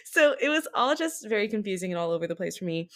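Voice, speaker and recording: Female podcast co-host, medium-pitched, casual and conversational, prone to chuckling mid-sentence. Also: sing-song, fast talker, fairly bright tone. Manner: giggly, chuckles while talking. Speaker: podcast co-host discussing anime.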